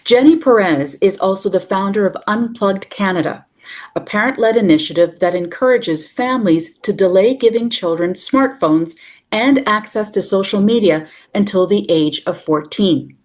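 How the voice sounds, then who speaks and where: A woman hosting a parenting podcast, introducing her guest and asking questions in a measured, polished way. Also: mid-range voice, a little mellow. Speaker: podcast host. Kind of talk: podcast interview.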